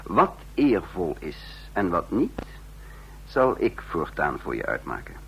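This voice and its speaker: An actor playing a cold, calculating intelligence chief who speaks formally and deliberately in a radio drama. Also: male voice, deep voice, wildly swooping pitch, a bit bright, heavily acted, poor audio. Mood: cold, commanding. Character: cold calculating intelligence chief, narrating his own downfall. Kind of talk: radio drama acting and first-person narration. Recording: vintage radio play with faint hum.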